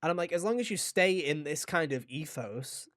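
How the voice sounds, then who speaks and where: A man's voice: a little high, lively intonation, normal speed, a bit bright. A man hosting a gaming podcast, talking casually in an enthusiastic, rambling way with some swearing. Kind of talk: casual video game discussion.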